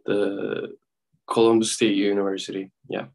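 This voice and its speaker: A young man from Turkey, a guest musician, answering interview questions softly with many fillers and pauses. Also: lowish voice, flat delivery, gravelly. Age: young adult. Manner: soft-spoken, full of fillers and pauses.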